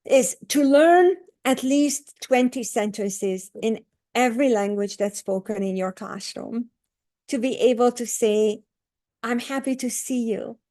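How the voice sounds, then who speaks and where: A woman's voice: fairly high pitch, a little slow. Woman, earnest and explanatory. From Hungary. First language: Hungarian. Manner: earnest, explanatory, lively with many fillers. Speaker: guest author and educator. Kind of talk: podcast interview.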